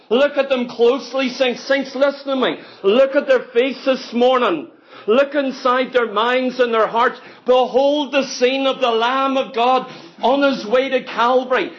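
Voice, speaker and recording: A man preaching an impassioned sermon, telling the story dramatically and pressing each point with emphatic repetition. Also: very high voice. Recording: dull, lo-fi sermon recording.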